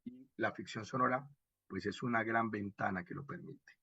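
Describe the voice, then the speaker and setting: A man with a Colombian accent and a husky voice, lecturing expressively as a radio drama instructor and checking in with short rhetorical questions. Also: on the low side, fairly even intonation, moderate pace, highs cut off, very noisy. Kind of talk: animated workshop lecture with acted examples.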